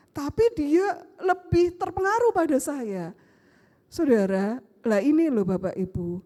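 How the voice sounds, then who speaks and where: Animated Surabaya-accented woman preaching. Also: high voice. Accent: Surabaya Javanese Indonesian. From Surabaya, Indonesia. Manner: animated storytelling with rhetorical questions, acts out family dialogue. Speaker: pastor. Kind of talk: sermon. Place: church sanctuary. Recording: long church-hall reverb.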